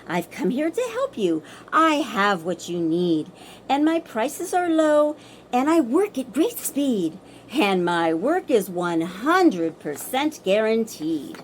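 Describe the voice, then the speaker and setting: Woman acting a smooth-talking male huckster, storybook character, persuasive, theatrical, very animated, faint background noise. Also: a little high, takes it slowly. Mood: eager sales pitch. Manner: salesman's patter, persuasive and showy. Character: sly, boastful male huckster creature, a smooth-talking salesman.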